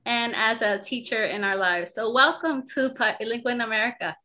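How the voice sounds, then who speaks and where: A woman's voice: a little high, fairly even intonation, normal speed, bright, forceful tone, soft background music. A woman hosting a podcast, welcoming her guest and responding with encouraging remarks. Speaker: podcast host. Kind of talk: casual interview hosting.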